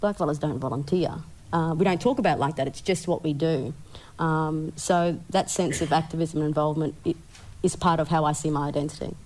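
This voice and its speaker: A woman's voice: sits low, fairly even intonation, fairly quick, a little mellow, low-quality recording. A woman with an Australian accent speaking articulately as a guest on a panel. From Brisbane, Australia. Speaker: panelist, researcher.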